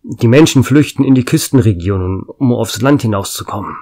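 A man's voice: medium pitch, expressive, a little fast. A man playing a doomed small-town radio host. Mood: urgent. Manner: urgent broadcast announcing, turning quiet and resigned. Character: male small-town radio host Gary, facing doom. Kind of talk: German fan radio drama acting.

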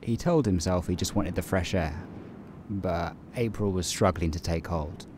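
Man with a very low, calm narrating voice. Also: background noise. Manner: calm, measured, wry. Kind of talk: scripted narration. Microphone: home recording booth.

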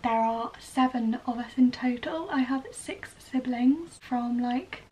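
A woman's voice: on the high side, slow pace, darkish tone, recorded from a distance. Young woman, from Wales, casual chatty vlogger, slightly off-mic, noisy room sound. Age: young adult. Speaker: vlogger, ballet dancer in training. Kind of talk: casual chatty get-ready-with-me vlog to camera. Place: small bedroom indoors.

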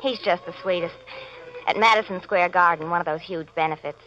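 A woman's voice: on the low side, brisk, a bit bright, natural voice. An actress playing a vain, dim young beauty queen who gushes and mangles big words, in 1940s radio style. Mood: vain, gushing. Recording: dull vintage radio recording.

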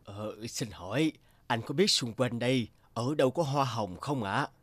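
A man voicing a gentle, worried middle-aged merchant father in a cartoon fairy tale, with a hesitant, sighing delivery. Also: mid-range voice, lively intonation, normal speed, no room sound. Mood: polite. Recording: loud music bed behind voice.

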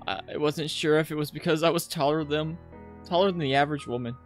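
Halting streamer reading aloud over music. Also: medium pitch. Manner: halting reader, stumbles over words. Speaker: host of a visual novel playthrough. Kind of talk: reading game text aloud with casual asides. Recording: music behind the voice. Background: game music.

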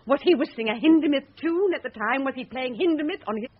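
A woman's voice: sits high, expressive, fast. A woman acting in a radio drama as a scornful, sarcastic woman with a mocking, clipped way of questioning. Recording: dull, muffled radio drama recording.

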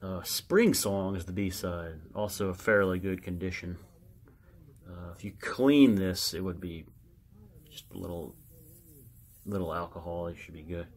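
A man giving casual, unscripted show-and-tell commentary as a collector, halting and muttering to himself as he reads aloud. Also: low voice. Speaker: record collector showing his records.

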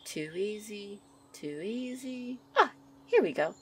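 Woman playing a young female nature fairy, shy, soft-spoken, encouraging, mid-pitched, ASMR roleplay, faint birdsong ambience. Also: animated, slow pace, a little hiss or hum. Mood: encouraging, playful. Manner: soft, encouraging, affectionate, giggly. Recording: birdsong and outdoor ambience behind.

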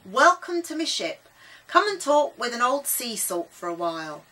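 A jovial old sea salt from a children's storybook, put on by a woman. The delivery is loud, forceful and animated, full of hearty pirate welcome. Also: moderate pace, heavily acted, poor audio. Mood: hearty, welcoming. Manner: booming, jovial pirate talk. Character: hearty, genial old weather-beaten sailor man.

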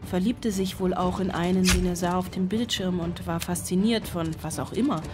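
A woman's voice: fairly low pitch, fairly even intonation, moderate pace, lightly acted. An actress playing an anguished, middle-aged single mother in a German-style radio drama monologue, speaking intensely and poetically. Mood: grieving, tender. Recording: music behind the voice.

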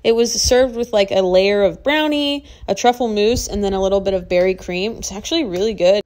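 A woman's voice: medium pitch, brisk, a little mellow. Enthusiastic woman narrating a vlog. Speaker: vlog host. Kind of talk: casual voice-over narration.

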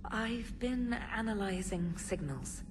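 A precise, calm ship intelligence. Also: female voice, mid-range voice, noisy background. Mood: measured. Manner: precise, measured reporting.

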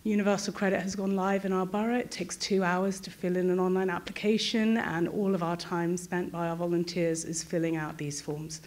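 A woman's voice: lowish voice, level tone, fairly quick, hardly any pauses, a little mellow. A woman, putting a question from a conference audience in a direct, explanatory way. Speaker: audience questioner, advice charity worker. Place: conference auditorium seating, indoors. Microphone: throwable box microphone.

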